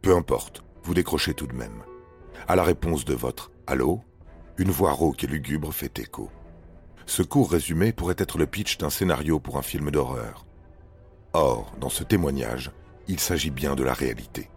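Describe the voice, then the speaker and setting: A man's voice: extremely low pitch, moderate pace, a little mellow, faint music behind. A man narrating scripted paranormal stories in a grave, suspenseful, dramatic manner. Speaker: narrator of a paranormal true-story podcast.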